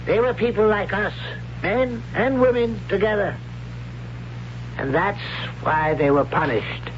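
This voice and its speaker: A stern old high priest and father in a 1970s radio drama. He declaims slowly and solemnly in a raised register, devout and commanding. The recording is dull, with clear hiss and hum. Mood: grave. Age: older.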